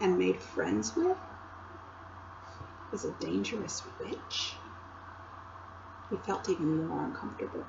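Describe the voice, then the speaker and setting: A teacher reading a storybook aloud in a slow, lilting voice. She pauses to ask the listeners questions, and the recording is a little dull with faint background hiss. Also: lowish voice, vocal fry. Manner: expressive, asks listeners questions. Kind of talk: read-aloud storybook narration.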